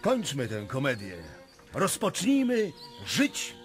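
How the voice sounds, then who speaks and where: An actor playing an earnest, fatalistic young prince in a radio drama. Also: male voice, on the high side, huge pitch swings, slow, music in the background, full character voice. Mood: grave, bitter.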